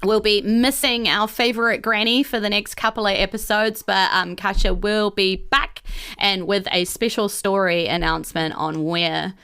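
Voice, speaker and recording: A woman's voice: medium pitch, fairly even intonation, moderate pace, sharp tone, clear, resonant tone. A woman with a New Zealand accent, narrating a tabletop role-playing game in a casual, enthusiastic, improvised way as game master and host.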